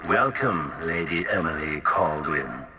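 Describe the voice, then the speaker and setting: An actor playing a haughty, eccentric genius inventor villain in a video game, theatrical, grandiose and condescending, coolly menacing. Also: male voice, rumbling low, animated, unhurried, dull audio. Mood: amused, intrigued. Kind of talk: dramatic video game voice acting. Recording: game music over the voice.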